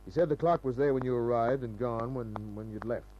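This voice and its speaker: Actor as hardboiled detective, muffled old radio. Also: male voice, medium pitch, fairly quick, natural voice. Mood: cool, wry. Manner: laconic, deadpan, wisecracking. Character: cynical wisecracking male private detective. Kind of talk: 1950s radio crime drama acting, hardboiled narration. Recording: dull, hissy old radio recording with hum.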